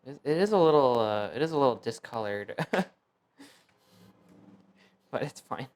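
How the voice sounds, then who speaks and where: A man's voice: medium pitch, expressive, moderate pace, a little mellow. A young man from the United States whose first language was Fuzhounese, chatting loosely on a cooking stream with lots of fillers and self-deprecating asides. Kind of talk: casual cooking stream chat.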